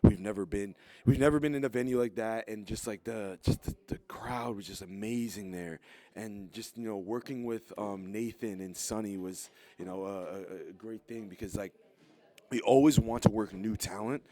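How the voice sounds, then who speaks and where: A man, a wrestler, speaking earnestly and calmly in a casual interview. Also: fairly low pitch, moderate pace.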